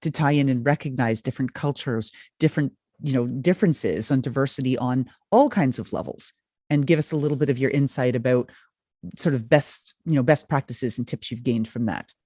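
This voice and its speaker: Woman host asking rambling excited questions, dry mic. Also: rumbling low, fairly quick, no echo. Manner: wordy, enthusiastic questions. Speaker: podcast host. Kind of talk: interview questions.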